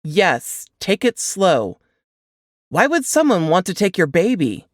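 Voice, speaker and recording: A woman's voice: lowish voice, big pitch swings, slow, dry recording, clean studio sound, full character voice. A woman voicing a guarded, no-nonsense young female cop with a commanding, clipped manner in an audiobook. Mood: questioning. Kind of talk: audiobook character dialogue.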